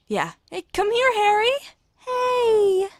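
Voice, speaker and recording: A woman's voice: very high voice, very animated, slow, no echo, full character voice. A woman acting a fussy, protective family member in an audio drama. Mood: fussing.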